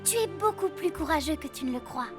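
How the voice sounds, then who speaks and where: A woman playing a determined, encouraging and slightly bossy schoolgirl in an animated cartoon, earnest and brisk. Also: high-pitched, music in the background. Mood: urging.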